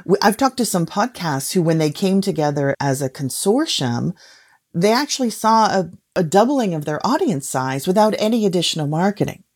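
Female expert guest, low register, conversational and explanatory, tags points with quick check-in questions. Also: lively intonation, darkish tone, husky. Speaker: podcast industry guest expert.

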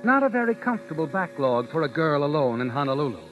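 An actor playing a sly, knowing narrator who needles in an insinuating way, in an old-time radio mystery. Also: male voice, on the high side, big pitch swings, fast talker. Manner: insinuating second-person address. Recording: muffled vintage radio broadcast. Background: music.

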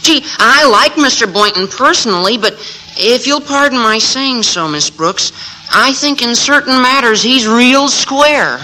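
A man's voice: very high-pitched, expressive, a little slow, pushed and bright, exaggerated voice, poor audio. A man playing a naive, earnest teenage schoolboy with an American accent in classic radio comedy. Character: cracking-voiced, naive, eager teenage schoolboy. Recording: old radio broadcast, faint noise.

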